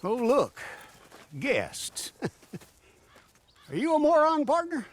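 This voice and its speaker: Casting: agitated, scornful Western employer, wildly animated high pitch, drawn-out pace, game cutscene. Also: male voice, exaggerated voice. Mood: exasperated, frantic. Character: exasperated, insulting Old West boss berating a hireling. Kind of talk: dramatic game cutscene acting.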